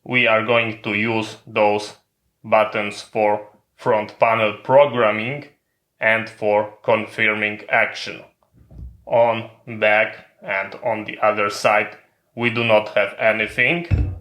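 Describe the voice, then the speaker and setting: A man presents a hands-on tutorial in an unhurried, very even voice with a crisp, bright edge. His English has non-native phrasing, and he explains each step methodically. The room adds a noticeable echo. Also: fairly low pitch. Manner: methodical, instructional. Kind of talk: step-by-step hands-on equipment demonstration. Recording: roomy, echoing sound.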